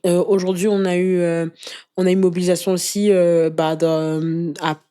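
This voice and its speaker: A woman giving personal testimony as an interview guest in casual conversation, earnest and halting, often repeating words as she searches for the next. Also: lowish voice, flat delivery, mellow, clean tone. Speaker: interview guest, anti-racism activist.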